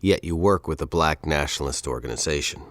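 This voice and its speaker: An actor playing a stern, condescending university dean with a formal, interrogating manner in an audio drama. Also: male voice, a bass voice, fairly quick, fairly bright tone, dry recording. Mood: cold, suspicious.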